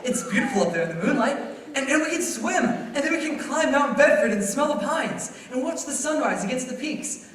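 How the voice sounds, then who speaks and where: A young man acting an eager, restless young dreamer in a stage radio play, with a hesitant, excitable delivery. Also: very high voice, fairly quick. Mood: excited, boyish. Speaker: school play actor. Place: school theater stage. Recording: echoey hall, distant mic, faint noise.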